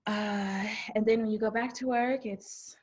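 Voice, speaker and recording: A woman's voice: medium pitch, fairly even intonation, measured pace, darkish tone, slightly rough. A young adult woman from Detroit, an actor, talking candidly and chattily as an interview guest over a video call. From Detroit, USA. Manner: chatty, candid, laughs easily. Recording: dull lo-fi audio.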